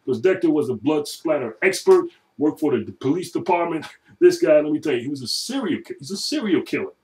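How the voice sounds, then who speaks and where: A middle-aged man recording a chatty, joking audition, laughing often and now and then dropping into a booming announcer voice. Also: recorded from a distance, low-quality recording. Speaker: voice-over audition. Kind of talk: self-made audition video.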